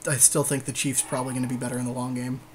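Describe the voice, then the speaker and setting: A man's voice: medium pitch, level tone, racing. Casual man commenting on a game, slightly noisy. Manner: slangy, conversational, often agreeing in short replies. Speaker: podcast co-host.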